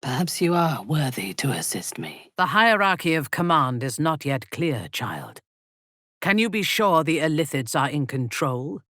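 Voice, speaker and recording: Ruthless female fantasy game warrior, husky, very dynamic intonation, imperious, deliberate, dry close sound. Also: deep voice, sing-song, fairly bright tone, no echo, full character voice. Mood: cold menace. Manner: haughty, deliberate, theatrical delivery. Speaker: video game character voice. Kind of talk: dramatic fantasy game voice lines.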